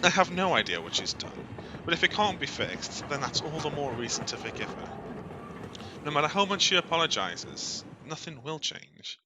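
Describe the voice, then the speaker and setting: A man reading a visual novel aloud on a stream as dramatic, expressive narration, in a theatrical storytelling way. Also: a little high, normal speed, background noise.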